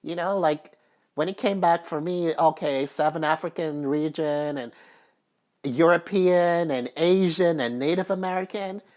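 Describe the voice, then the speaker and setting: A woman's voice: low voice, a little slow, darkish tone. A woman vlogger talking casually and at length, chatty and repetitive with many fillers, and emphatic when she makes a point. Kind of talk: casual personal talk to camera.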